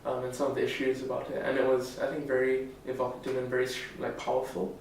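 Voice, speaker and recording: A sixteen-year-old boy from Singapore, a summer school student, answering in a measured, articulate way with pauses. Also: mid-range voice, monotone. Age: teens. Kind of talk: interview answers. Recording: echoey room, distant mic, faint noise.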